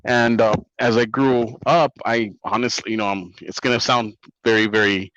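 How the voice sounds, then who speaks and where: A man in his forties whose first language is Spanish, telling stories in a relaxed, rambling way as an interview guest over a call. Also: medium pitch, moderate pace, no echo, highs cut off. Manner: relaxed, rambling storyteller with many fillers. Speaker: interview guest, comic writer. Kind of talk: casual interview conversation.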